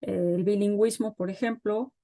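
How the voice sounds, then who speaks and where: A middle-aged woman, a guest expert, explains child development in an interview, pausing often with fillers as she thinks. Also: mid-range voice, fairly even intonation, warm tone. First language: Spanish. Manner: thoughtful, pauses with frequent fillers.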